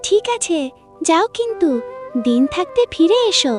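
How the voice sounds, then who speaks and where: A woman playing a caring, stubborn young woodcutter's daughter in a cartoon, speaking affectionately with a gentle scolding manner. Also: extremely high pitch, very animated, moderate pace, soft background music. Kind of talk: cartoon voice acting.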